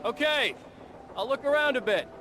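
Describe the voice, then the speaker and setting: Troubled writer, weary, brooding, irritable, widely moving mid-range voice, game narration, loud music behind. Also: male voice, extremely high pitch, animated, normal speed. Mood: calling out. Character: troubled, irritable male novelist with writer's block. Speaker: protagonist and narrator.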